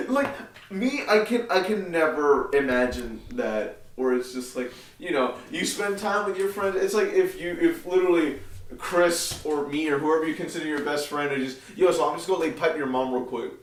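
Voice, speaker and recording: High-voiced joking man in an echoey room. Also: very animated, normal speed. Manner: joking, laughs often, mock-reads quotes. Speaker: podcast co-host. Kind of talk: casual chat reading a news article aloud. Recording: echoey room, distant mic.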